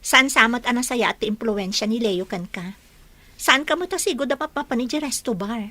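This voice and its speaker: Woman acting high-pitched, watchful, nagging wife. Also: animated, fairly quick, bright, forceful tone, dry recording. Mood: reproachful. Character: watchful, questioning wife and mother, gently nagging. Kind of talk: Ilocano radio drama acting.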